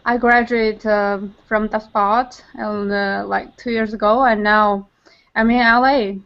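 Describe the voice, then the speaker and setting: A woman's voice: medium pitch, fairly even intonation, unhurried. A woman from China, a recent graduate, introducing herself and asking a question in a shy, hesitant way. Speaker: student graduate. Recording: distant, dull lo-fi sound.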